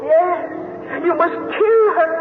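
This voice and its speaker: An actress playing a murdered wife's loving, sinister ghost in a radio mystery drama, with a drawn-out, haunting delivery. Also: female voice, very high voice, heavy background noise. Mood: coaxing, sinister. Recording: dull old radio broadcast, loud music behind.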